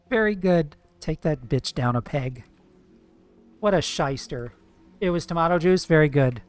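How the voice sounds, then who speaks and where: Chatty, profane streamer man with a higher voice. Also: dry recording. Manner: chatty, profane, playful teasing of chat. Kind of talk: casual live-stream chat while gaming.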